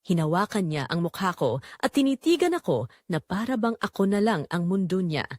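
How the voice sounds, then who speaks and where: A woman with a Filipino accent narrates a dramatic first-person romance story in a measured, expressive way. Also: medium pitch, no echo, studio quality.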